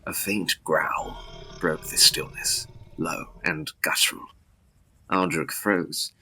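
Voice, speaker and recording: Male narrator for gothic drama: husky, very forceful and sharp, deliberate, solemn delivery. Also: deep voice, low-quality recording.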